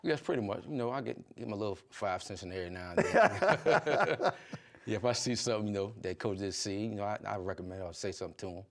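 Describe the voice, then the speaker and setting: A man's voice: fairly low pitch, animated, quick pace. A middle-aged man from Virginia, a football coach chatting casually as an interview guest in colloquial speech. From Virginia, United States. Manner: colloquial, peppered with "you know". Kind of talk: casual chat.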